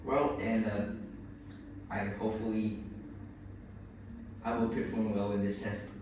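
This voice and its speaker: A man's voice: on the low side, level tone, slow. A boy in his early teens from Vietnam, a native Vietnamese speaker with a Vietnamese accent, answering a speaking test hesitantly with many restarts. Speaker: student taking a speaking test. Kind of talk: answering exam questions. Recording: echoey room, distant mic, background noise.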